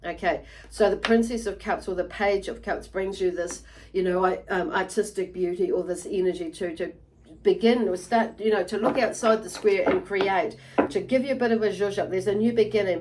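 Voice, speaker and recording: A woman giving an unscripted tarot reading to viewers, halting and meandering, often repeating and rephrasing herself as she goes. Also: medium pitch, darkish tone, slight background noise, poor audio. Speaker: tarot reader and channel host.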